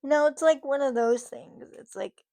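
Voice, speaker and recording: A woman's voice: sits high, moderate pace, creaky, mellow. A woman in her thirties talking casually to her camera, rambling with lots of fillers and restarts. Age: 30s. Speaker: vlogger.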